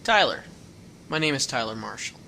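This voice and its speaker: An actor playing a cold, wary young homeless man who speaks hesitantly and softly. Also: medium pitch, very animated, moderate pace, gravelly, a little hiss or hum, heavily acted. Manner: hesitant, pleading, soft-spoken. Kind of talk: audio drama acting.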